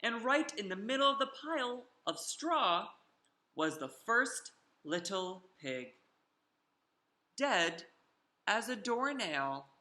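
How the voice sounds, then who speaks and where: A sly storybook wolf, acted out by a man, pleading his own case to children. The delivery is slow and emphatic, with drawn-out words and big swoops in pitch, as if letting listeners in on a secret. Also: big pitch swings, pushed and bright, reverberant, exaggerated voice. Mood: dramatic. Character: sly, self-justifying male wolf telling his side. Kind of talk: animated children's storybook read-aloud.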